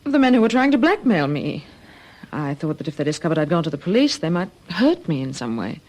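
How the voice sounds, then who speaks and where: An actress playing a frightened, evasive witness in an old-fashioned radio drama, speaking hesitantly and defensively. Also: female voice, sits low, very animated, a little fast, darkish tone. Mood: nervous, evasive. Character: frightened, evasive woman witness questioned by police.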